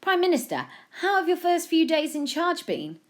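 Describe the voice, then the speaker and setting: A woman's voice: extremely high pitch, very animated, moderate pace, pitched above the performer's own voice. A woman voicing an eager news reporter in a comic story, in the style of a live report from the street. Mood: keen, probing.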